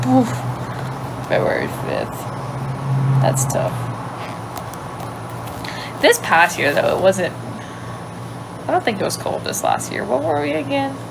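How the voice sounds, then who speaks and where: A young woman from Louisiana with a light Cajun accent, chatting casually on a livestream and answering viewer questions, thinking aloud and trailing off into quiet asides. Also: on the high side, creaky, noisy background. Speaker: livestream host. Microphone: phone.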